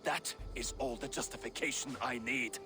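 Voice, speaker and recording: A man's voice: medium pitch, steady tone, normal speed, background noise, natural voice. An actor playing a proud, merciless, hot-headed warrior in a video game, scornful and blustering in his declamatory delivery. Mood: defiant. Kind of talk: dramatic video game acting.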